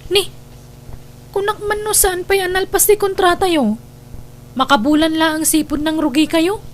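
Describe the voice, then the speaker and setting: High-voiced plaintive Ilocano wife played by an actress. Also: female voice, steady tone, moderate pace, a little hiss or hum. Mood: irritated. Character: lonely childless young wife, resentful of absent husband. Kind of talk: audio drama acting.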